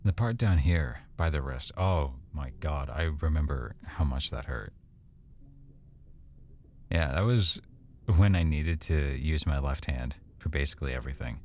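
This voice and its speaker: A man playing an affectionate, teasing, easily embarrassed boyfriend in an intimate, conversational ASMR roleplay, speaking softly and a little hesitantly. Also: rumbling low, measured pace. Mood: fond, teasing.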